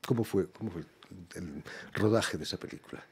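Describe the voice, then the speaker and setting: A man with a Spanish accent, a radio interviewer asking measured questions and ending them with tag questions. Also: low-pitched, slightly rough. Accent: Spain Spanish.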